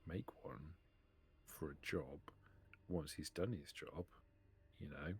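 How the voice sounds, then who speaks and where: A man's voice: a bass voice, slow, creaky, darkish tone, slightly rough. A man playing in a tabletop roleplaying game, chatting casually in character.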